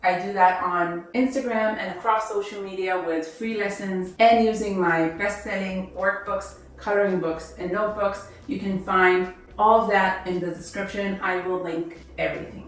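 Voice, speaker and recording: A woman teaching an online language lesson, enunciating example words clearly and deliberately, her native language Hebrew. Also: medium pitch, fairly even intonation, recorded from a distance. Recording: very echoey room, voice set back from the mic, faint background music.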